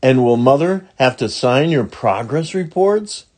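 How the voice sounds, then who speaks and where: Storybook role: inquisitive young girl, played by a man, lifted pitch, earnest and frank. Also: animated, unhurried, darkish tone. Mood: curious. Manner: questioning, earnest. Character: curious, outspoken eight-year-old schoolgirl in a storybook.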